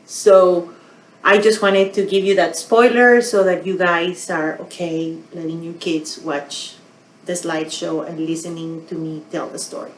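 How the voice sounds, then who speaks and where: A woman narrating a storytime for young children as a library storyteller, in a simple, expressive manner. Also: lowish voice, measured pace, a little hiss or hum, low-quality recording. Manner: expressive, simple, asking the listeners questions.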